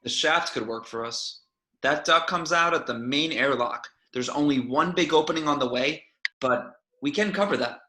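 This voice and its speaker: A man's voice: a little high, moderate pace, sharp tone. A man playing a decisive spaceship captain in an amateur table read of a sci-fi script, recorded in a roomy space with echo. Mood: deliberating, firm. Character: decisive male spaceship captain giving orders.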